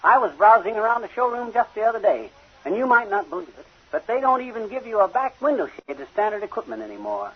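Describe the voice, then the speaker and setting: A folksy, argumentative husband from a vintage radio comedy. His high voice swings widely in pitch as he blusters and stubbornly defends his penny-pinching ways. The recording is dull and old. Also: sing-song, quick pace, breathy edge, full character voice. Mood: defensive, argumentative. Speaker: comic lead in a radio sitcom.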